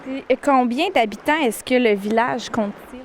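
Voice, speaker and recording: Gal hosting, chatty friendly questions, highish voice, bit of background hum. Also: female voice, moderate pace. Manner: friendly, chatty, on first-name terms. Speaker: TV host, interviewer. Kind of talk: casual interview questions.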